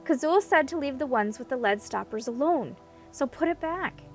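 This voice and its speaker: A woman voicing a sharp-witted, impatient young princess in a storybook, brisk, dry and exasperated. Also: high-pitched, animated, far from the mic, soft background music. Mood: urgent.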